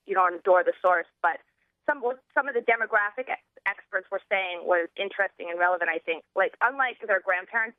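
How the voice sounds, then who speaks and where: A woman's voice: mid-range voice, dry recording. An American woman in her thirties co-hosting political talk radio in an informal, chatty, conversational way. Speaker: radio co-host. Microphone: telephone. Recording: narrow-band telephone line on radio.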